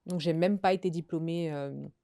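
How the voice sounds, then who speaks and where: A woman's voice: low-pitched, steady tone, normal speed, creaky, warm tone, clear, resonant tone. A young woman from Belgium, a guest telling her life story in casual conversation, hesitant and full of fillers and restarts. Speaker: guest sharing her testimony.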